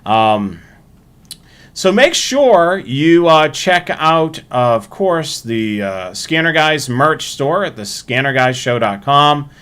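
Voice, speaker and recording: A man hosting a livestream, talking informally through announcements in a halting way and repeating himself. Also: on the high side, sing-song, a bit bright, smooth. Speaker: livestream host. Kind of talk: casual livestream sign-off and announcements.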